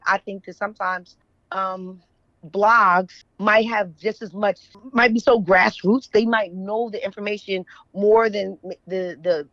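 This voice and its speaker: A woman's voice: medium pitch, dry recording. American woman talking casually, dry slightly muffled sound. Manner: opinionated, frequent checking tags like "right?" and "you know what I'm saying". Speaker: guest, author and journalist. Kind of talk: casual conversational interview talk.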